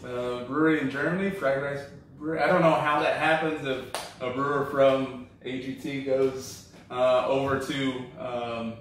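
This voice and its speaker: A man hosting a comedy podcast, chatting casually while tasting beer, relaxed with lots of fillers. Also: mid-range voice, normal speed, a little hiss or hum. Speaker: comedy show host. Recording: roomy echo, from a distance.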